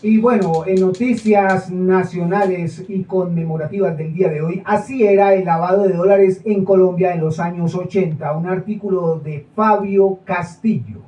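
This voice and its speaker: Mock-formal radio co-host with dry jabs, played by an actor; roomy stage sound from far off. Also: male voice, sits high, steady tone, normal speed, hardly any pauses, dark tone, lightly acted. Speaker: radio show co-presenter. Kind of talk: stage acting of a radio broadcast. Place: small theater stage. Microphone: far camera. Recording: echoey room from far off.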